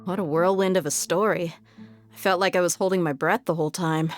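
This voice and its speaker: A woman's voice: fairly low pitch, fairly even intonation, brisk, faint music behind, lightly acted. Woman playing an easygoing, confident mercenary leader. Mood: relieved. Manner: casual, commanding. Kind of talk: scripted game dialogue.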